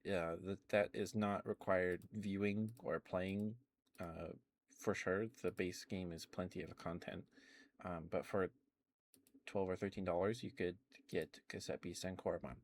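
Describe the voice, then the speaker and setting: A man streaming ASMR, chatting softly and rambling with frequent fillers and little laughs. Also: low voice, steady tone, a little slow. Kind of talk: casual rambling chat.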